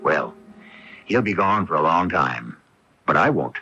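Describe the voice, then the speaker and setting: A man's voice: sits low, lively intonation, a little slow, pushed and bright. A man hosting and narrating a radio mystery in a wry storyteller's manner. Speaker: mystery drama host and narrator. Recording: muffled AM radio broadcast with music.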